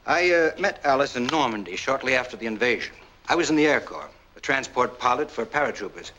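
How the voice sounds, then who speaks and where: A self-assured war veteran in a 1940s noir film. His voice is bright and forward at a middle pitch. He speaks in measured, courteous sentences with cool control, on an old film soundtrack. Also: animated, a little hiss or hum, rough recording. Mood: controlled, persuasive. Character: smooth, self-assured war-veteran husband, calmly explaining.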